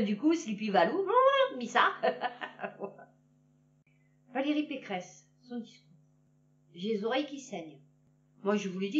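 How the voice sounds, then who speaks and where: A woman's voice: on the high side, roomy sound, distant mic, muffled recording. A woman from Marseille with a Marseille accent, ranting in a casual, blunt and slangy way and laughing at her own jokes. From Marseille, France. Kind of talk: casual political rant to camera.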